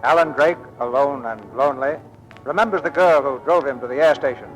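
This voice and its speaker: An actor serving as the dramatic American narrator of an old-time radio drama. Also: male voice, mid-range voice, brisk, faint music behind, close to an everyday voice, low-quality recording. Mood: solemn, dramatic. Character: dramatic male radio story narrator setting the scene.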